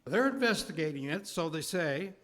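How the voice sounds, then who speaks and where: A man's voice: on the high side, expressive, moderate pace, fairly bright tone. A man playing a plain-spoken, doubtful street beggar in a 1930s radio drama, with folksy, slangy speech.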